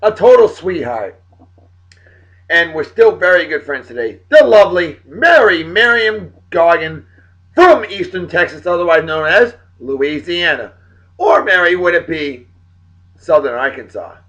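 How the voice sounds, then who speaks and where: A middle-aged man with a New England accent, hosting a casual beer vlog while hoarse and losing his voice, chatty and full of hype. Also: a little high, big pitch swings, a little slow, a bit bright, rough recording. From Massachusetts. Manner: upbeat, hype-filled and chatty, with throat clearing. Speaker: video blog host.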